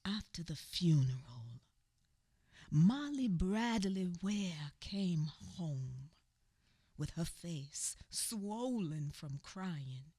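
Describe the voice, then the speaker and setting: A woman narrating her grandmother's story in a radio theatre play, measured and solemn. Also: mid-range voice, no echo, studio quality.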